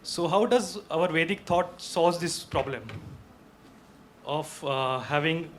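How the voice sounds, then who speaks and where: A man puts a question to a speaker, politely and with some hesitation. His voice sits a little high, with faint noise around him. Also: takes it slowly, a bit bright. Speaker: audience questioner. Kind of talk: spoken question at a talk.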